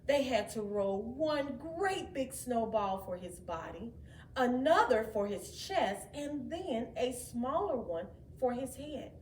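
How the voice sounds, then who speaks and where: High-voiced, animated woman storyteller. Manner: expressive, deliberate. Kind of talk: children's read-aloud narration. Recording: echoey room, distant mic, faint noise.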